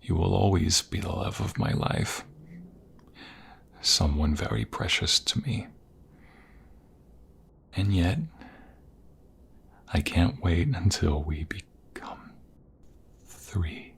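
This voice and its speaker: A man playing a tender, excitable husband and father-to-be in an intimate ASMR audio roleplay, halting and emotional, often dropping toward a whisper. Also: deep voice, gravelly, slight background noise, heavily acted. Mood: tender, soft. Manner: halting, emotional, repeats himself. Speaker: voice-over roleplay performer.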